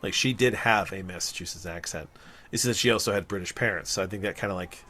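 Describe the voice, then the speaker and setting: A man's voice: sits low, fast talker, bright, forceful tone, slight background noise. A man co-hosting a podcast in casual chat, talking in a rambling, thoughtful way. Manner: rambling and thoughtful, with many fillers and restarts. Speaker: podcast co-host.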